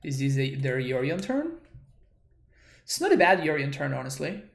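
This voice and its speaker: A man streams a card game and thinks aloud as he works out each play. His voice sits at a middle pitch. The room around him sounds echoey. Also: big pitch swings, moderate pace, creaky. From Argentina. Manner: thinking aloud, working through plays. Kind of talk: live card game commentary. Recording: roomy echo.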